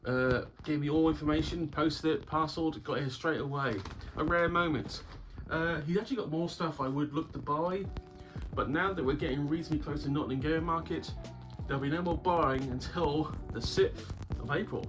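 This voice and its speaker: Sore-throated man, slightly high voice. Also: moderate pace. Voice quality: slightly muffled by a cough sweet in the mouth. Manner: rambling, wry, chuckles often. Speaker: YouTube game collector host. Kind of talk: casual chat vlog. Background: background guitar music.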